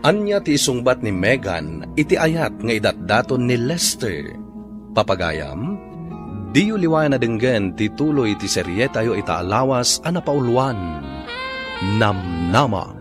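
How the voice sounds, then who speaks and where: A man narrating a radio drama in a suspenseful announcer style, with a husky voice. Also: medium pitch, sing-song, measured pace, music in the background.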